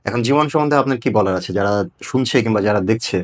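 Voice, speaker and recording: Bangladeshi guy interviewer, lowish voice, talks really fast, explains stuff conversationally.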